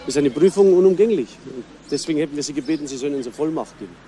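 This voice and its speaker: A man's voice: fairly high pitch, very fast, mellow, natural voice. A man playing a polite but insistent police officer in a crime radio play, official and persuasive. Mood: politely insistent.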